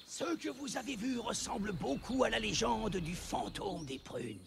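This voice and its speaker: A man's voice: high-pitched, big pitch swings, unhurried, gravelly, noisy background, full character voice. A man voicing a wise clan elder in a French cartoon, speaking in a grave, mysterious storytelling manner. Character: Aaron, wise male clan elder of a prehistoric tribe. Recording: music behind the voice.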